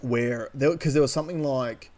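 A man's voice: mid-range voice, normal speed, darkish tone. An Australian man co-hosting a podcast, talking casually and opinionatedly in a sardonic way, with some casual swearing. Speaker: podcast co-host. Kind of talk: casual opinionated chat about news.

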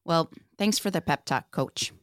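Young female student played by a woman, slightly low voice, deflated, dryly quipping in a comedy script read. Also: unhurried, fairly bright tone. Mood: teasing.

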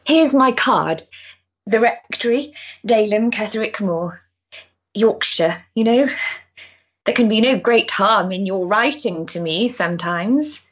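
A woman's voice: mid-range voice, lively intonation, measured pace, slightly rough, muffled recording. An actress playing an earnest, impulsive, lonely Victorian woman in a period stage drama, with a hesitant, emotional manner. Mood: nervous, yearning.

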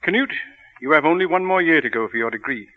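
An actor playing a genial, authoritative college priest, reassuring and avuncular, in 1940s radio drama style. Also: male voice, fairly high pitch, animated, fast, close to an everyday voice. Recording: dull 1940 radio recording.

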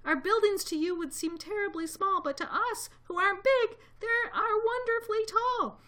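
A woman's voice: very high voice, normal speed, pushed and bright, voice pushed up. A woman voicing a tiny, courteous storybook mayor with a small piping voice, earnest and grateful. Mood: proud, eager. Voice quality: faint, piping voice. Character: tiny anxious grateful mayor of a speck-sized town.

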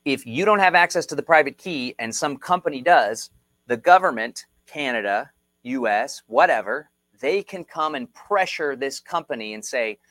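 A man in his thirties with a Texan accent, giving an energetic, chatty live-streamed tutorial and reading viewer comments aloud. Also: medium pitch, moderate pace, no echo. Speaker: crypto instructor.

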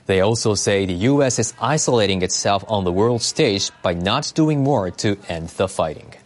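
A man's voice: fairly low pitch, animated, moderate pace. A man delivering scripted news narration as a reporter.

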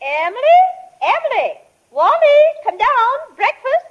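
Busy brisk mom in an old radio play, high voice swooping up and down, dull vintage sound. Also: female voice, big pitch swings, slight background noise, exaggerated voice. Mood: calling out. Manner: brisk, motherly, sing-song calling. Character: brisk, busy small-town mother calling her family. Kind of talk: 1940s radio drama acting.

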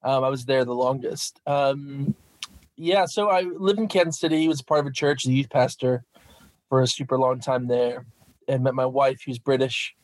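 A middle-aged man from Minnesota with an American Midwest accent, telling his story as a guest on an interview podcast in a lively, self-deprecating way. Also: medium pitch, moderate pace, dry recording. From Minnesota, USA. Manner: animated, self-deprecating, lots of fillers. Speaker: vicar and guest.

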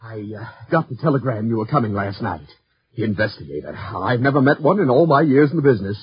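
A man's voice: medium pitch, lively intonation, brisk, slight background noise, close to an everyday voice. An actor playing an uneasy, deferential male insurance broker in a 1950s radio drama, hesitant and polite. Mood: uneasy, obliging. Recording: muffled 1950s radio recording.

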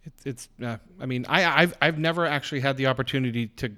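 A man's voice: medium pitch, pushed and bright. A man hosting a casual talk show who teases his guest and laughs a lot. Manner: joking, quick-talking, laughs often. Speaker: host. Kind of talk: casual chat, teasing.

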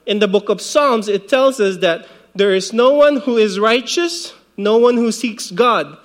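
A man's voice: sits high, unhurried. A man in his forties from the Philippines, preaching a sermon in a conversational way with light asides and laughs. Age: 40s. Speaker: pastor.

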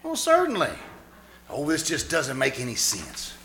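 A man's voice: a little high, wildly swooping pitch, normal speed, gravelly, pushed and bright, full character voice. A man playing a teasing, wisecracking, good-natured husband in a theatrical 1940s radio sitcom style. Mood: dismissive, amused. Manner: jokey, theatrical reactions. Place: live performance at a winery. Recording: live stage recording, faint background noise.

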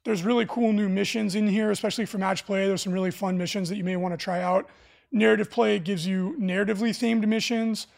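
A raspy-voiced man hosting a casual live stream for a game store, talking enthusiastically with lots of fillers. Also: high-pitched, darkish tone. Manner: enthusiastic, rambling with many fillers. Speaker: livestream host for a game store.

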